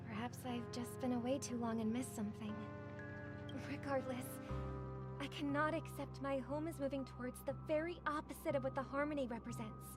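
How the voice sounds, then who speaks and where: Game heroine played by a woman: young songstress, high voice, gentle and poised, formal earnest phrasing, music behind. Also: fairly even intonation, close to an everyday voice. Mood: regretful, resolute. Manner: measured, formal, earnest delivery. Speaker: game character voice. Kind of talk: scripted game dialogue.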